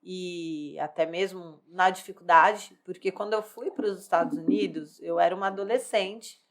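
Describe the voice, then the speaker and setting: A woman's voice: lowish voice, normal speed. A woman in her thirties, a bodybuilding athlete, telling her life story in a candid, rambling way, full of fillers and restarts. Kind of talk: personal life story in casual chat.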